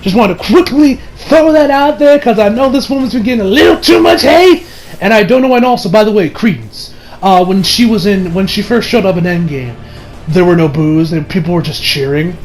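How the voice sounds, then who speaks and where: A man's voice: sits high, expressive, fairly quick, a little hiss or hum, poor audio. A young man giving a casual spoken movie review, rambling and often repeating and rephrasing himself.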